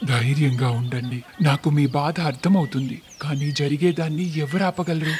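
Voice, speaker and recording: A man's voice: fairly high pitch, steady tone, moderate pace, darkish tone, slight background noise, lightly acted. A man voicing a consoling, resigned village headman in a cartoon story.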